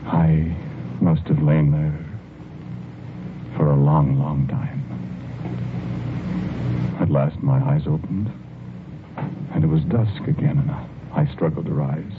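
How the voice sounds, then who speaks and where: An actor playing a haunted, wounded husband telling his tragic tale haltingly and intimately, in 1940s radio drama narration on an old recording. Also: a bass voice, narrow, tinny audio, noisy background. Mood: haunted, grave.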